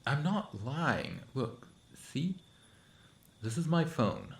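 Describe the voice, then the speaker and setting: A man's voice: mid-range voice, big pitch swings, extremely slow, gravelly, reverberant, exaggerated voice. A young man acting in an audio roleplay as an awkward, sardonic friend who is secretly a monster, talking casually and hesitantly to the listener. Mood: awkward, defensive.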